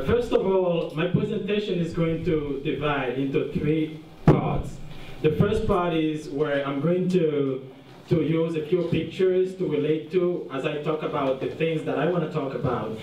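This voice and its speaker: A young man from Haiti, giving an earnest, plain-spoken slide presentation as a guest speaker at a dinner. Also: fairly high pitch. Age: young adult. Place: large dinner hall. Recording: roomy, distant, dull sound, faint hiss.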